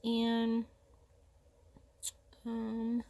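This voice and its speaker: A woman's voice: on the high side, completely flat delivery, extremely slow, noisy background. A woman with a stuffy cold nose, chatting casually and thinking aloud as she sorts her makeup at home. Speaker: beauty vlogger. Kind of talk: casual makeup vlog chat. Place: home room with vanities.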